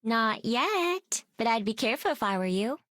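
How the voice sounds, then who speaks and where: Cheerful young heroine, video game, high-pitched, animated, casual, confident. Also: female voice, normal speed, dry recording, studio quality. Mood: friendly, cautioning. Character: upbeat, confident young girl hero.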